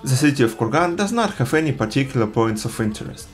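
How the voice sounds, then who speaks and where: A man from Russia, narrating a travel film in an earnest, measured voice-over. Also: mid-range voice, very animated, faint music behind. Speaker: travel vlogger.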